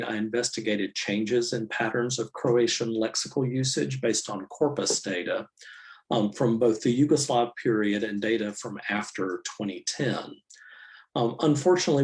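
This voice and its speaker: Man giving a measured academic lecture. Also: medium pitch, a little mellow. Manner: measured, explanatory, with frequent "um" and "so". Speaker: university linguistics professor giving a public lecture.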